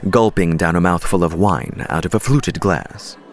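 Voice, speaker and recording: A man's voice: low voice, moderate pace. A man narrating an audiobook story in a dramatic, scripted reading style.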